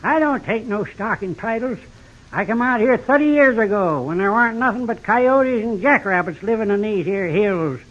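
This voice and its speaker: High, cackling old prospector. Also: male voice, expressive, normal speed, fairly bright tone. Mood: proud, territorial. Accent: rustic American West. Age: older. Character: loony old territorial prospector with a pack mule. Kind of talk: old-time radio western acting. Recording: dull lo-fi vintage radio recording.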